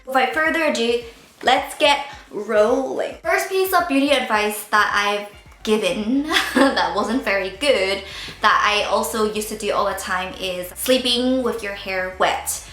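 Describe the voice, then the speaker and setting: A woman's voice: on the high side, measured pace, a bit bright, reverberant, faint music behind. A woman in her thirties from Northern Ireland with a Northern Irish accent, chatting candidly to camera as a beauty vlogger, joking and tripping over words. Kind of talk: casual chat to camera.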